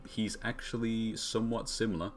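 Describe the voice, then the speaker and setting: A man's voice: fairly low pitch, steady tone, normal speed. A man commentating on a video game live, talking casually with wry asides. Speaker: game streamer. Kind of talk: live game stream commentary, reading dialogue aloud. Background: video game music.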